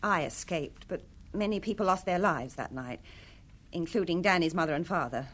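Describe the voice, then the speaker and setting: A woman's voice: fairly low pitch, moderate pace, fairly bright tone. A woman playing a retired film star in a radio drama, imperious and defensive, with formal, troubled delivery. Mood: confessional, troubled.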